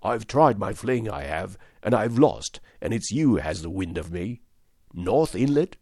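A man voicing a sly, wounded old pirate coxswain who grumbles in rough sailor's talk. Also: fairly low pitch, sing-song, unhurried, dark tone, dry recording, clean studio sound, heavily acted. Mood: resigned. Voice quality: rough sailor's dialect, gruff.

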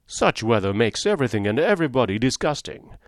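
A man playing a sour, grumbling gentleman. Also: medium pitch, sing-song, fast, no room sound, clean studio sound, lightly acted. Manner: grumbling, sneering, blunt. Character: surly, contrary gentleman.

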